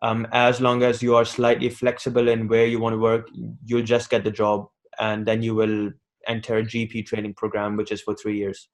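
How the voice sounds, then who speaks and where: A man's voice: on the low side, monotone, moderate pace, few pauses. A man on a webinar panel, giving casual advice as a doctor with frequent ums and restarts over a video call. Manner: hesitant, many ums and restarts.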